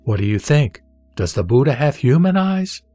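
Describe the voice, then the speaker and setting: A man playing the Buddha as a serene teacher, reciting scripture in a measured, didactic, emphatic way. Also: mid-range voice, big pitch swings, mellow, dry recording, soft background music, lightly acted. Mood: questioning, instructive. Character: the Buddha, serene male spiritual teacher instructing a disciple. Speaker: sutra audio drama reader.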